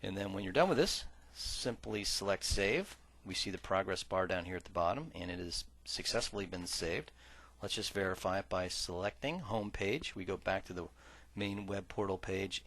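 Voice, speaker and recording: A man working as a software trainer, narrating an instructional screen-share tutorial in a measured, explanatory way that stresses key words. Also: sits low. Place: voice-over for a screen recording.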